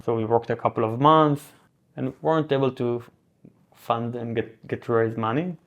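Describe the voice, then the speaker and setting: A man in his thirties from Israel with a Hebrew accent, a guest chatting casually in an interview, relaxed and full of fillers and restarts. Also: mid-range voice, normal speed, lo-fi sound.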